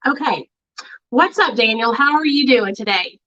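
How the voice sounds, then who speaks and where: A woman hosting a casual livestream, chatting in an excited way with plenty of filler words. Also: a little high, normal speed. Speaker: livestream host. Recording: muffled lo-fi livestream audio.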